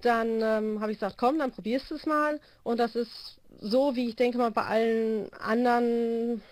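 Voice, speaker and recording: A woman's voice: a little high, fairly even intonation, normal speed, a little mellow, no echo. A woman in her forties, a volleyball coach, explaining her training system in a video call interview with a phone held to her ear. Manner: explanatory, many hesitation fillers. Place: small room indoors.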